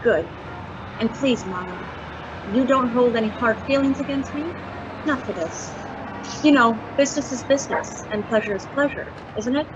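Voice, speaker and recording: A woman playing a sly, flirtatious informant in a detective radio drama, coy, deliberate and insinuating. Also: on the high side, lightly acted. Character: sly, flirtatious female informant selling dangerous secrets. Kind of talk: hard-boiled detective radio drama, femme fatale. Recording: dull, noisy track with street ambience.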